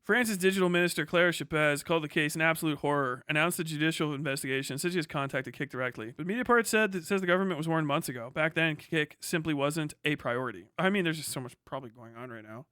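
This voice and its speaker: A man with a high, bright, forceful voice gives live reaction commentary. He is blunt and profane, speaking as a streamer in a dry, close sound. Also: fast talker, few pauses, clean tone, no echo. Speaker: streamer reacting to a news video. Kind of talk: live reaction commentary with read-out passages and rants.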